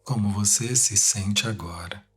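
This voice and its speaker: Slow, low-voiced Brazilian man guiding meditation. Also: slightly rough. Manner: unhurried short phrases with long pauses. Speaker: guided meditation leader. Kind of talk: guided meditation narration. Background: music.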